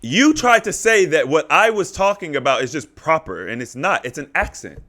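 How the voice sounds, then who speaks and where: A man co-hosting a casual podcast, low-key but insistent when arguing a point. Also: very animated, normal speed, pushed and bright. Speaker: podcast co-host.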